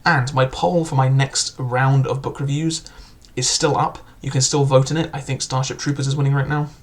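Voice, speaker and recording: A man's voice: mid-range voice, level tone, moderate pace. A young man casually reviewing a book, chatty and loose, with frequent filler words and restarts.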